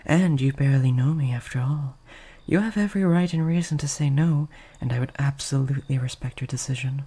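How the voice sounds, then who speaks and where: A woman voicing a gentle but possessive vampire lady in an intimate roleplay, soft-spoken and often whispering, with formal, old-fashioned, coaxing phrasing. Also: very deep voice, husky. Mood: tender, hesitant. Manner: formal, archaic, slow, coaxing.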